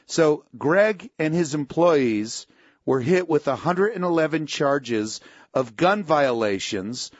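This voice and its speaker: A man with a somewhat high voice gives an emphatic radio commentary as a pastor and host. The sound is dry and a little dull. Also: no echo. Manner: emphatic, opinionated delivery.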